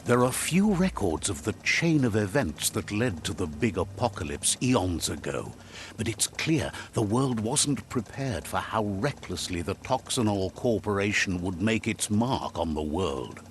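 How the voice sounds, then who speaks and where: A man narrating a game's story calmly, like a storybook, and relaying what a creature says. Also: on the low side, measured pace, a little hiss or hum. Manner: calm storyteller, translating a creature's speech. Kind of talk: scripted storybook narration for a game. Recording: game audio with faint music behind.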